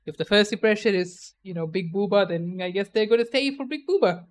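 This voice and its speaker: A woman's voice: medium pitch, fairly quick. A young adult woman chatting casually on a stream, playful and opinionated, laughing often.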